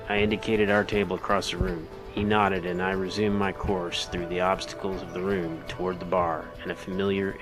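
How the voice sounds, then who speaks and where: A man's voice: low-pitched, natural voice. A man playing a composed, friendly starship captain in an audio drama. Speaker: voice actor. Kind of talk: audio drama log narration. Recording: music behind the voice.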